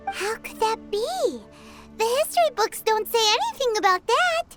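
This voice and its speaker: A woman's voice: very high voice, sing-song, moderate pace. A woman voicing a tiny, chatty female fairy companion in a video game, who talks about herself in the third person. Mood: curious. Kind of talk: video game cutscene voice acting. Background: game soundtrack music.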